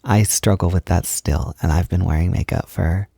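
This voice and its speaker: A man speaking softly and intimately in a roleplay, gentle and reassuring as if guiding someone through a task, at times close to a whisper. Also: deep voice, fairly even intonation, moderate pace, vocal fry, slightly rough, no room sound. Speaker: roleplay audio performer. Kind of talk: soft-spoken intimate roleplay, like a personal makeup tutorial.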